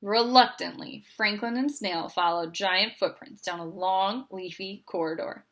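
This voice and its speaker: A woman's voice: mid-range voice, unhurried, fairly bright tone. A woman reading a children's picture book aloud as a storyteller.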